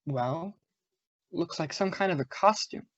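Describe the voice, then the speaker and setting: A woman's voice: fairly low pitch, a little slow, no room sound, lo-fi sound. A woman playing a male homicide inspector in a radio drama, wry and affectionate, with clipped teasing banter. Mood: businesslike. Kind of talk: old-time radio detective drama acting.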